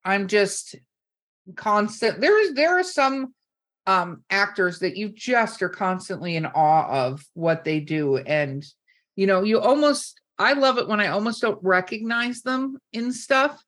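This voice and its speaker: A woman's voice: medium pitch, expressive, a little slow, fairly bright tone. A woman hosting a casual podcast, chatting in an upbeat, informal way. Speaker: podcast host.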